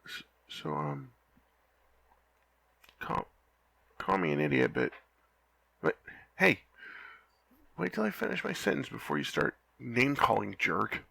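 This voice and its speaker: A young man playing a lovesick, flustered knight in an ASMR roleplay, talking softly and haltingly with frequent stammers. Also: mid-range voice, wildly swooping pitch, vocal fry, husky, no echo, heavily acted. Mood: flustered, giddy. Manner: halting, stammering, soft-spoken.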